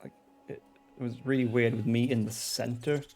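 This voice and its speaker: A man's voice: medium pitch, steady tone, normal speed, darkish tone, slight background noise. A man with a Swedish accent, a teacher and game streamer chatting casually, rambling and hesitant with many ums and restarts.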